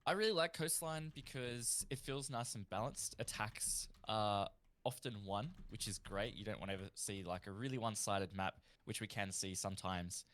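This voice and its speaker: A man's voice: on the low side, moderate pace, fairly bright tone. A man with an Australian accent giving live esports analysis as a colour commentator, in a conversational way.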